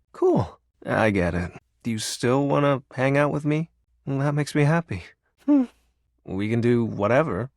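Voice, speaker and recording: An actor voicing a lonely, brooding personification of darkness for a video game, theatrical and grandiose yet awkwardly sincere. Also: male voice, mid-range voice, gravelly, dry recording, clean studio sound. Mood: hesitant, hopeful. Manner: theatrical grandiose villain speech slipping into awkward, wistful sincerity. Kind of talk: dramatic video game character acting.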